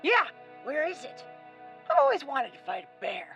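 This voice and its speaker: A woman playing a reckless, gleeful young boy adventurer in a radio play, cheeky and excitable. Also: sits high, normal speed, creaky, soft background music, heavily acted.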